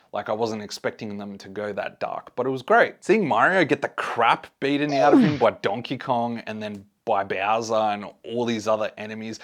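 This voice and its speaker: A man's voice: fairly low pitch, a bit bright. A man hosting a casual movie review, speaking conversationally and giving his opinions to his viewers. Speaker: host, film reviewer.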